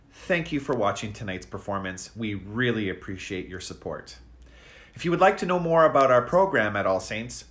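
A middle-aged man presents a school show's closing remarks. His medium-pitched voice moves up and down in a lively way as he addresses viewers from indoors. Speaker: school drama program presenter. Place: plain room indoors.

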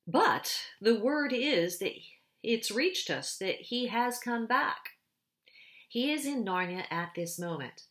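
A woman's voice: medium pitch, fairly bright tone, pitched above the performer's own voice. A woman voicing a kindly, gloomy male beaver in a storybook read-aloud, talking earnestly.

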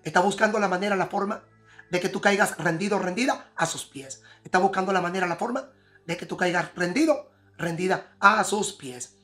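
A man's voice: high-pitched, fairly quick, a bit bright, faint music behind. A man giving a tarot prediction, repeating and rephrasing each line with emphasis. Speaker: tarot reader.

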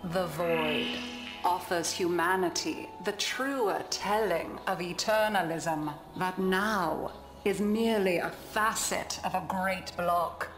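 Slow, measured lesson narrator machine. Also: female voice, fairly low pitch, roomy sound, over music. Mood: solemn, instructive. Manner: measured, instructive. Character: calm female computerised lesson narrator. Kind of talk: scripted educational narration.

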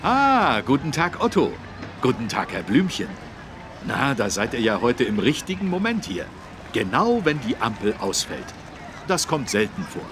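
A man playing a friendly policeman who courteously and clearly explains things to children in a learning game. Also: medium pitch, wildly swooping pitch, a little slow, noisy background. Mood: cheerful, welcoming. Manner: instructive, courteous, clearly enunciated. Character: friendly adult male policeman explaining traffic rules to children.

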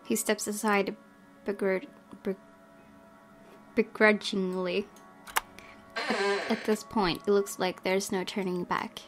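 A woman hosting a gaming video, reading game text aloud and reacting in an expressive, fretful way. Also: medium pitch, slow pace, long pauses. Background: background game music.

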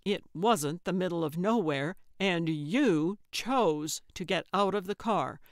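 A woman's voice: fairly low pitch, unhurried, dry recording, clean studio sound, natural voice. A woman voicing a grumpy, stubborn man with a curt, exasperated manner in an audiobook. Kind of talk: audiobook character voice.

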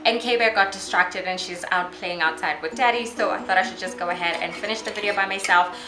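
A chatty young woman in her twenties talks through an easy recipe in her home kitchen. She sounds upbeat and relaxed, laughing easily. The camera stands a few steps away, so her voice comes with a roomy echo and music plays underneath. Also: on the low side, brisk, recorded from a distance. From South Africa. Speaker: cooking vlogger. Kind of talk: casual cooking tutorial. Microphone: camera on tripod at a distance.

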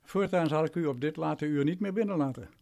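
A man playing a condescending, self-important lawyer in a radio drama, pompous and deliberate. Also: a little high, darkish tone, lightly acted. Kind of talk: radio drama acting in Dutch.

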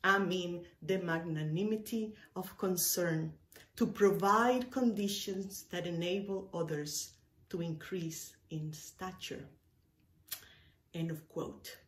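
A woman's voice: on the low side, fairly bright tone. Emphatic Cuban-born woman preaching, roomy sound. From Cuba. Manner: emphatic, deliberate phrasing with repetition for weight. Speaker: minister. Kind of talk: sermon. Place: indoor room with bookshelves, speaking to camera. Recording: roomy, echoey indoor sound.

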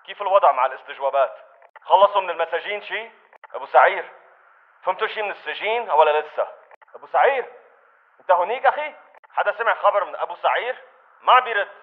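A man's voice: sits high, lively intonation, fast, long pauses, no echo, narrow, tinny audio. A man playing an uneasy militant with a Levantine Arabic accent, speaking in clipped, questioning video game chatter. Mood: impatient. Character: uneasy male militant checking on comrades.

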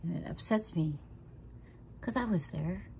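A woman's voice: fairly low pitch, lively intonation, unhurried, warm tone, slight background noise. A woman, chatting frankly and feistily while doing her makeup at home, close to the camera, sometimes dropping to a half-whisper. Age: 60s. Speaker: makeup vlogger. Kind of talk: casual makeup tutorial chat. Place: living room at home, indoors. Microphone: camera close in front.

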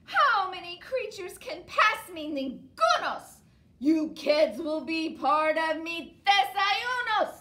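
A woman voicing a grumpy, hungry giant female troll in a storybook, loud and slow with a scratchy voice. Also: very high voice, very animated, pitched above the performer's own voice, heavily acted. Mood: menacing. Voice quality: scritchy scratchy voice. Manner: loud, slow, menacing growls. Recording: roomy echo.

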